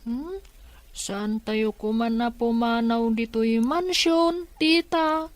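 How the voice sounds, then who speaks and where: A woman acting as a naive, questioning young niece in an Ilocano radio drama. She speaks slowly in a fairly high voice with a Filipino accent. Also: dry recording. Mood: plaintive.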